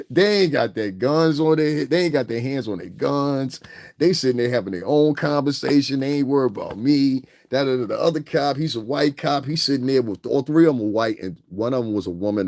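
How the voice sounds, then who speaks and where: Deep-voiced man telling a story. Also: no room sound, studio quality. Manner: animated, acts out others' lines with big pitch jumps. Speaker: vlogger. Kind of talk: casual storytelling rant. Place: home in Connecticut.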